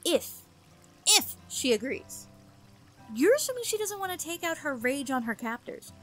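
A guarded, world-weary woman, played by an actress in an audio drama. Her voice is high, her delivery firm and measured, with light music underneath. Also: very animated, a bit bright. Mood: wary, firm. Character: guarded, world-weary forest-dwelling woman, stern and principled.

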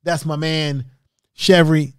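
High-pitched man hosting a livestream. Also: little pitch movement, takes it slowly, clean tone, dry recording, clean studio sound. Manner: emphatic, repetitive, instructive. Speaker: livestream host, YouTube creator. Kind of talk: live-stream tutorial talk to chat room. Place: small home studio room indoors. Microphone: large microphone close in front of mouth.

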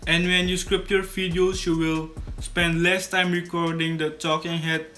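A man's voice: a little high, little pitch movement, unhurried, bright, forceful tone, faint music behind. A teenage boy from Suriname whose first language is Dutch, casually explaining his video process to camera in a small room studio, often restarting his words. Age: teens. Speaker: YouTube creator. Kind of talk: scripted tutorial vlog to camera. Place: small bedroom studio indoors.

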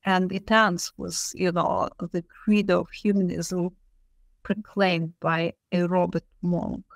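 A woman's voice: fairly low pitch, flat delivery, slow, vocal fry, no room sound. A woman speaking unscripted as an interviewed academic, thoughtful and emphatic, repeating key phrases as she explains. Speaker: interviewed literature professor.

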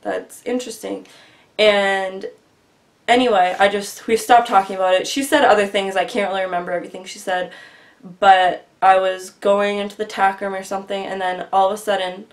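A woman's voice: mid-range voice, fairly even intonation, normal speed. Earnest young woman telling a story. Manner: earnest, rambling, often quieter and trailing. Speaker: vlogger. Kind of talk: casual storytelling. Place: small bedroom with bookshelf, indoors. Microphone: webcam at desk distance.

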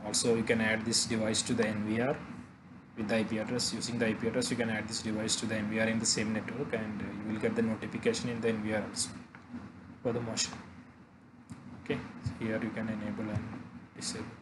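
Low monotone man, halting walkthrough narration. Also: heavy background noise. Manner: halting, frequent pauses mid-phrase. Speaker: tutorial presenter.

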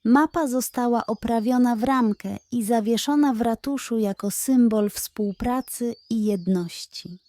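A woman's voice: fairly high pitch, measured pace, few pauses, mellow. A woman narrating a scripted bedtime story for children as a storyteller.

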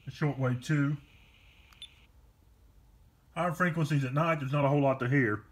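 A man with an average-pitched voice casually walks through a product for sale. He talks plainly and haltingly, unscripted, from behind a handheld camera at home. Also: fairly even intonation, a little hiss or hum. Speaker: online seller demonstrating a car stereo. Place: carpeted room at home. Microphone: handheld camera behind the lens.

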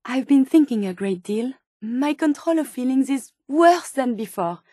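High-pitched, earnest French robot scientist. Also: female voice, expressive, moderate pace, mellow, no echo, clean studio sound. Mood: flustered. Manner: formal, precise wording. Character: earnest, polite female robot scientist, curious and sensitive. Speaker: video game voice actor. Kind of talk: video game character dialogue.

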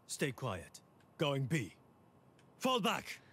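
An actor playing a tactical shooter game agent, giving short, urgent, clipped team commands. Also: male voice, medium pitch, huge pitch swings, drawn-out words, sharp tone, husky, no room sound, heavily acted. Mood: terse, alert. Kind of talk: short video game voice lines.

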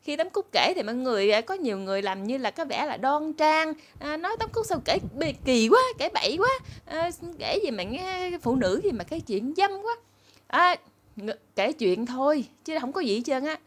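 A woman's voice: high-pitched, sing-song, normal speed. Chatty southern Vietnamese woman storyteller. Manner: chatty, conversational, addressing viewers as family. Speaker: channel host and storyteller. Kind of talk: casual storytelling to followers. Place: small room indoors.

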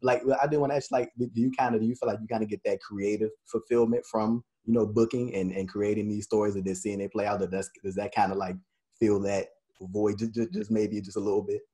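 Young male host in his 20s on a video call; low voice, casual and meandering, repeats himself. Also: fairly even intonation, fast, dark tone. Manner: loose, rambling, repeats words, laughs easily. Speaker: interview host. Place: small indoor room. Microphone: webcam on video call.

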